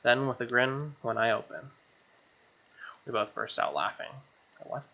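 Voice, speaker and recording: A woman's voice: rumbling low, expressive, measured pace, creaky, a bit bright, rough recording. A woman streaming a visual novel playthrough, reading narration aloud and dropping in casual, slangy, sweary commentary.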